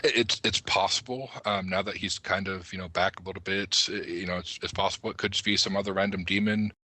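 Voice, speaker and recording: A man's voice: low voice, steady tone, fairly quick, sharp tone, dry recording. A man speaking as a podcast panelist in a casual discussion of game lore.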